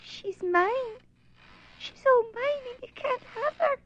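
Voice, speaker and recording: A woman's voice: extremely high pitch, animated, slow, background noise, full character voice. A woman playing a possessed wife in a horror radio play, menacing and mocking, sliding into whispers and wails. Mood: anguished. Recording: muffled lo-fi radio drama recording.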